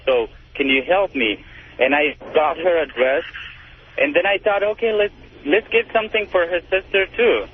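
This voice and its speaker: A man rambles casually through a story as a phone-in caller. His slightly high voice comes over a tinny line with some faint noise. He repeats himself and hesitates, eager to explain.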